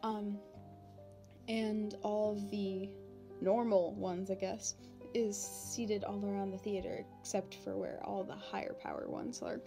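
A woman plays a shy, excitable young demon princess in an ASMR roleplay. Her mid-pitched voice moves slowly and haltingly, in casual, hesitant phrases with long pauses. Music plays clearly behind her. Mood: explaining. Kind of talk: ASMR roleplay addressed to the listener. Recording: background music.

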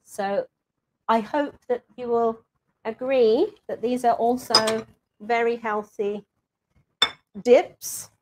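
A woman's voice: a little high, fairly even intonation, slow, long pauses, a little hiss or hum. An older woman hosting a live cooking demonstration from her home kitchen, chatty and self-mocking. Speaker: cooking show chef. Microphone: fixed camera mic across the counter.

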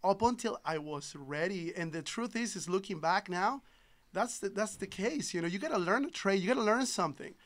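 A man's voice: sits high, animated, a little fast, fairly bright tone. A man in his thirties from Ecuador, a native Spanish speaker, chatting agreeably as a podcast guest. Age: 30s. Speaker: podcast guest, solar business owner. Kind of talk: casual interview chat. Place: small padded podcast studio. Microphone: boom-arm studio microphone.

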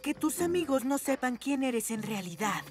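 Confident little girl cartoon hero, high voice, latin american spanish, loud music behind. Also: sing-song, normal speed. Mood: businesslike. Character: sensible, confident six-year-old girl superhero. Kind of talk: animated cartoon dialogue.

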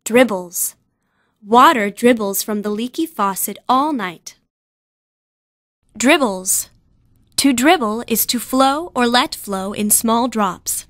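A woman narrates educational material in a fairly high voice. She reads slowly and carefully, as for a vocabulary lesson, and the sound is dry and close. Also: expressive, fairly bright tone, no echo.

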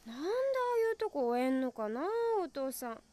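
A woman playing an easygoing, frank teenage schoolgirl in an animated film, speaking casual Okayama dialect. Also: high voice, brisk. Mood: grumbling, casual. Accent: Okayama Japanese.

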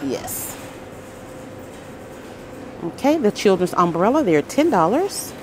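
Slow, lively woman touring store aisles. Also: medium pitch, background noise. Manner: upbeat, listing items and prices with little exclamations. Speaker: shopping vlogger. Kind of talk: shop-with-me price walkthrough. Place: aisles of a large grocery store, indoors. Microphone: handheld phone camera.

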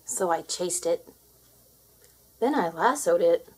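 A woman reading a children's story aloud in a dramatic, expressive storytelling manner. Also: fairly low pitch, takes it slowly, slightly rough, poor audio. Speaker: storybook reader.

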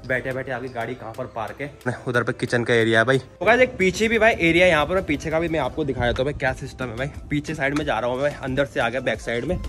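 A man's voice: medium pitch, fast, hardly any pauses, a bit bright. A man in his thirties giving a chatty walking tour for his vlog, speaking into a handheld selfie camera. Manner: chatty, says "guys" and "bhai" constantly. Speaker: vlogger. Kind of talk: casual travel vlog tour. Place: outdoors on a scooter and walking through a cafe garden.

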